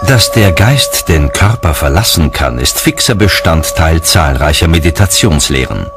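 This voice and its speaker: A man's voice: extremely low pitch, lively intonation, normal speed. A man reading scripted documentary narration as the narrator. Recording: music bed under narration.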